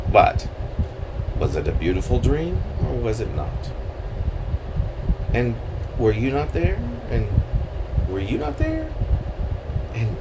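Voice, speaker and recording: A man reading his own poem aloud in a theatrical, halting manner with swooping emphasis. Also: fairly low pitch, very noisy. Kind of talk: dramatic poetry reading.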